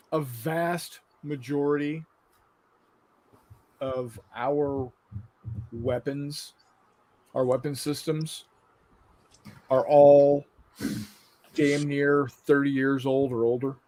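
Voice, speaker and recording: Host, male, 50s, slightly high voice, blunt, sweary, loose conversational delivery. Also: fairly even intonation, drawn-out words, pauses often, darkish tone, poor audio. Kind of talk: casual chat, reading live viewer comments.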